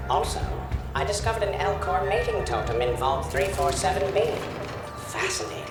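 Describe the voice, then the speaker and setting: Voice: medium pitch, big pitch swings, moderate pace, vocal fry, roomy sound, over loud music. An actor voicing a polite, literal-minded floating drone assistant in a video game, speaking in a formal, pedantic manner. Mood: politely matter-of-fact. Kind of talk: scripted game character dialogue.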